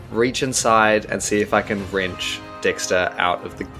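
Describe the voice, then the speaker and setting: A man's voice: lowish voice, a little slow, pushed and bright, soft background music. A man with a New Zealand accent playing a tabletop game, thinking aloud, trailing off and restarting, often laughing. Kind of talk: casual in-character play.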